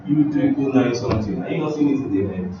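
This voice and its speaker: A man's voice: mid-range voice, expressive, fast talker. A man teaching a hands-on coding lesson as an instructor, speaking in a direct, questioning way. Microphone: far from the speaker. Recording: distant mic, echoey room, heavy noise, dull sound.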